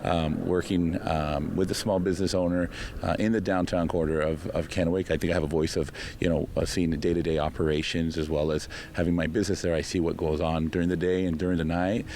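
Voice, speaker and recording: A man with a very deep, gravelly voice rattles off his points quickly. He talks in a flat tone with frequent pauses and fillers, and faint noise sits behind him. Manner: halting, many fillers. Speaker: city council candidate, small business owner. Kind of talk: news interview soundbite.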